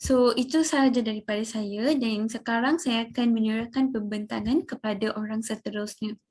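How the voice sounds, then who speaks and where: A woman's voice: fairly high pitch, steady tone, normal speed, darkish tone. A young woman with a Malaysian accent giving a student presentation, mostly formal with casual linking words. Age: young adult. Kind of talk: semi-scripted group presentation.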